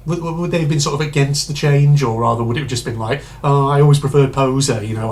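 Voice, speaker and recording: A man hosting a casual reaction video, rambling and chatty with lots of fillers, his voice slightly hoarse and congested from a cold. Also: mid-range voice, slight background noise.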